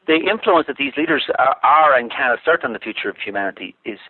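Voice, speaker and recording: A man's voice: mid-range voice, expressive, fast talker, slightly rough. A man from Ireland, a talk-show guest calling in by phone, explaining his views thoughtfully and restarting his sentences often. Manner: thoughtful, with frequent restarts and filler words. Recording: tinny dry phone line.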